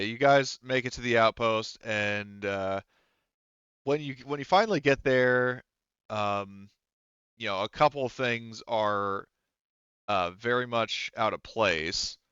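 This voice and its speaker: A man running a tabletop roleplaying game as game master, talking casually and pausing often mid-sentence, on slightly dull audio. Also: on the low side, a bit bright, clean tone, dry recording.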